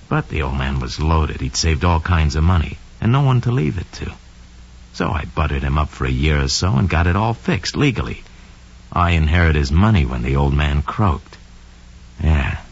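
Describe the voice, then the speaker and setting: A very deep-voiced, smug killer. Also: male voice, slightly rough, exaggerated voice. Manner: sly, cold, boastful, slangy. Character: smug scheming young male murderer confessing his crime. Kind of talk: 1940s radio drama monologue. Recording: dull lo-fi old radio recording.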